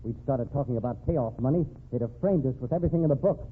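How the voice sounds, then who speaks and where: Man as fast-talking slick lawyer, old radio. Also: mid-range voice, narrow, tinny audio, natural voice. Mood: defensive, cynical. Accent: American. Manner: argumentative, evasive, cynical. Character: slick, defensive male gangster's defense lawyer. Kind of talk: old-time American radio drama acting. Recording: thin, band-limited, noisy old radio recording.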